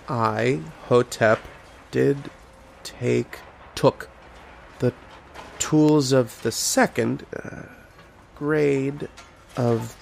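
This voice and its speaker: A male actor plays a learned archaeologist in an old-time radio horror drama. His voice is creaky and medium in pitch, and he explains things thoughtfully. There is some noise behind him. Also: a little mellow, exaggerated voice. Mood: halting, concentrating. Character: learned, patient male archaeologist narrating an eerie tale. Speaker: lead actor and narrator.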